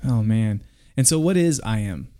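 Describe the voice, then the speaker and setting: A man's voice: lowish voice, expressive, moderate pace, dark tone, clear, resonant tone. Male podcast host, casual and eager, clean resonant voice. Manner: upbeat, eager, full of fillers like "man" and "like". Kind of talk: casual interview chat. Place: studio.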